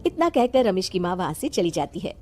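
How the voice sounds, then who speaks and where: A woman's voice: medium pitch, big pitch swings, brisk, warm tone, soft background music. A woman narrating a scripted moral story as a storyteller.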